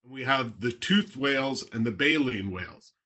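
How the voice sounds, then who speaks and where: A man's voice: mid-range voice, expressive, normal speed, bright, forceful tone. A man from Toronto, Canada, a marine biologist talking casually on a video call, explaining in plain words with a few fillers.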